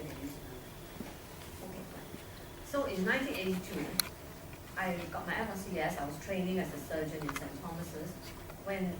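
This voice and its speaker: An older woman from Singapore speaks to students in a low, earnest voice, restarting her sentences now and then. She sounds distant from the mic in an echoey hall, under a loud hiss. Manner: earnest, conversational, repeats and restarts. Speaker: guest speaker, surgeon. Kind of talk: public talk to students. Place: lecture hall.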